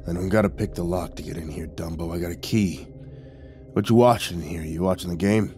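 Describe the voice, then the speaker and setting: A man voicing a gruff, slangy hitman in an audiobook, growling and muttering his lines like a lazy sports junkie. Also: low-pitched, fairly quick, a little mellow, a little hiss or hum, pitched below the performer's own voice, lightly acted. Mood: gruff, casual. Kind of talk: audiobook character voice.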